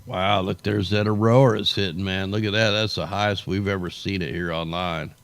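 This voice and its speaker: Deep voiced guy livestreaming, gravelly and tired, rambling casual slangy commentary, voice kinda shot. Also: fairly even intonation, a little fast, no room sound. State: tired, voice worn out. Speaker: livestream host. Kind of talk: casual off-the-cuff weather commentary.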